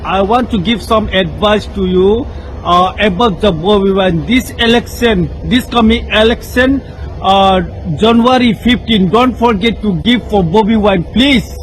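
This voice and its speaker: Nepali man, high voice, slow halting delivery, earnest appeal, faint music behind. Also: slight background noise, poor audio. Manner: earnest, halting, repeats short simple phrases. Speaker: person in a played video clip.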